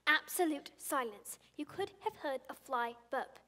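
A female actor playing a clever, imaginative little girl who tells a story in a deliberate, theatrical, suspenseful way. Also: high-pitched, animated, heavily acted. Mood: solemn, suspenseful. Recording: loud music behind the voice.

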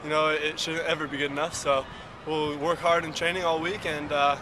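Casual young college soccer player. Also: male voice, a little high, level tone, moderate pace, background noise. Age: young adult. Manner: casual, upbeat, fillers. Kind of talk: post-game interview. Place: outdoors by stadium stands at night.

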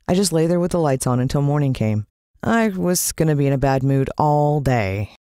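An American woman narrating a children's story in the first person, expressive and suspenseful. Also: sits low, normal speed, clear, resonant tone, no room sound. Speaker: audiobook narrator.

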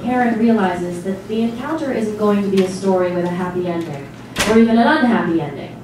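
A woman's voice: medium pitch, brisk. Woman narrating in a very echoey hall. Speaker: narrator, stage actor. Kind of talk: scripted dramatic narration. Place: small stage before a seated audience. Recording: distant mic, very echoey hall, noisy.

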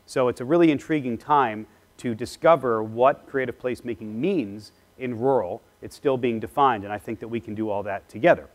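A man's voice: medium pitch, fairly quick. A man in his forties giving a conference keynote from a lecture hall stage on a lapel mic, chatty and self-deprecating, with frequent "uh" fillers. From Philadelphia area, US East Coast. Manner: gestures with a clicker while pacing the stage. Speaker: keynote speaker. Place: large lecture hall with projection screen.

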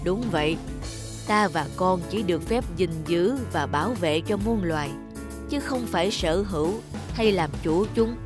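A woman voicing a wise, patient old male tree spirit who teaches in a measured way in an animated fable. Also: fairly low pitch, music in the background, natural voice. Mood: wise, solemn. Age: older. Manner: measured, didactic, gently instructive.

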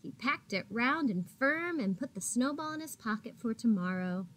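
A woman in her thirties, a teacher reading a picture book aloud to young children in a deliberate, expressive way with drawn-out words. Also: on the high side, rough recording. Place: small indoor classroom. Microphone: laptop or webcam at arm's length.

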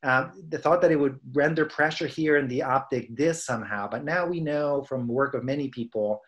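A middle-aged man with an American accent, a scientist giving an informal, halting, conversational lecture over a video call. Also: mid-range voice, a bit bright. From United States, East Coast. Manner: halting, conversational, self-correcting. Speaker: professor and research scientist presenting.

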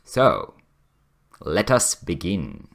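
A man's voice: deep voice, wildly swooping pitch, extremely slow, creaky, fairly bright tone. A man presenting his amateur voice acting in an informal, hesitant, self-effacing way. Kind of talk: informal video introduction and sign-off.

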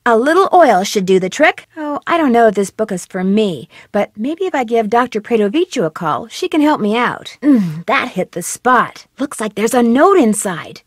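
A young female game detective: high, animated, dry booth recording. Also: no echo. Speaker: game protagonist.

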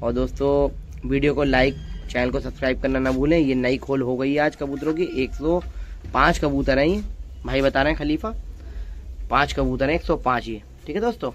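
A man's voice: medium pitch, normal speed, slight background noise. A man vlogging in an open courtyard, chatting casually to his viewers and calling them friends as he films from a phone. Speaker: pigeon-keeping vlogger. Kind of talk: casual show-and-tell narration. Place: open-air courtyard full of pigeons. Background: pigeons cooing and fluttering.

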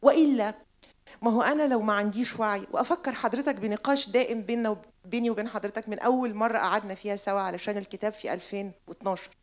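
A woman's voice: mid-range voice, very fast. A woman in her forties with an Egyptian accent asks a long, insistent, rhetorical question from the audience of a lecture hall. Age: 40s. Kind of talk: impassioned public question.

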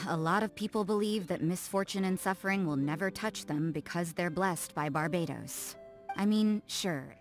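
A woman playing an aloof, cynical, hard-drinking nun in a video game, speaking in a cool, dry, deadpan way. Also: fairly low pitch. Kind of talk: English video game voice acting.

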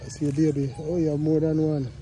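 A man's voice: fairly high pitch, flat delivery, quick pace, dark tone, slight background noise. A man with a Jamaican accent walking through a farmyard, filming on his phone and calling out playfully to the goats and birds. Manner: playful, calls out to the animals. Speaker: vlogger touring a farm. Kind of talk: casual walking commentary. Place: outdoor farmyard with animal pens. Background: farm animals and birds.

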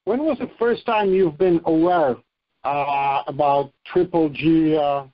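Higher-pitched male reporter, muffled phone line. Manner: halting, repeats words. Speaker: boxing reporter asking questions. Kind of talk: informal press question. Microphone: telephone conference call. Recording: dull lo-fi conference phone line.

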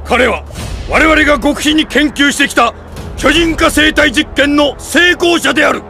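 Anime game veteran general: elderly, authoritative, creaky voice, deliberate delivery, faint music behind. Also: male voice, very high voice, bright, forceful tone, full character voice. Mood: commanding. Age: older. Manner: commanding, deliberate oratory. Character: stern, composed old male army commander. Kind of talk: dramatic anime game acting.

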